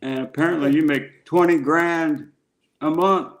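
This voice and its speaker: A man's voice: a little high, steady tone, a little slow. A man on a livestream panel, teasing the host in casual banter. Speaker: caller on a panel.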